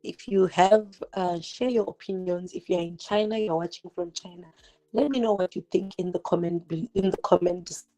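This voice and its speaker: A woman's voice: fairly low pitch, steady tone, moderate pace, mellow, rough recording. A woman in her twenties from Zimbabwe, for whom English is not her first language, chatting directly to viewers on a livestream from her kitchen while cooking. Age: 20s. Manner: chatty, direct, rhetorical questions, many "like" fillers; multitasking, cooking while talking. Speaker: YouTuber hosting a livestream. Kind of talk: casual livestream chat. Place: home kitchen. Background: fireworks outside.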